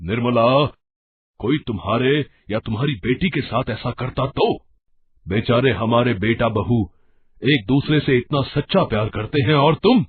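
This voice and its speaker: A man voicing an older, blustering but fair father-in-law in a cartoon, emphatic and husky. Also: medium pitch, a little slow. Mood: angry. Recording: dull lo-fi audio.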